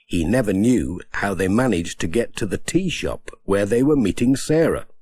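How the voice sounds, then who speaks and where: A man narrates a children's audiobook in a fairly low voice. His delivery is very lively, rising and falling expressively as he reads the scripted story. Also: breathy edge, no room sound.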